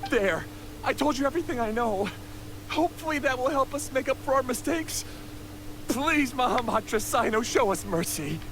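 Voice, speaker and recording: Unusually high-voiced, anxious male bandit. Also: lively intonation, normal speed, background noise. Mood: pleading. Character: nervous, contrite male bandit confessing. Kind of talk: video game voice acting.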